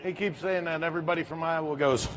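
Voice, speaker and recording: A man making dry, deadpan asides during live banter on an expo stage. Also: on the high side, rapid-fire. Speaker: guest.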